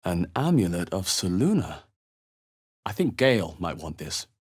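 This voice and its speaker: A man playing a wary, determined adventurer and spellcaster in a video game, with a terse, measured, dramatic delivery. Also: sits low, big pitch swings, breathy edge, exaggerated voice. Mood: wary, observant. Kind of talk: video game character voice lines.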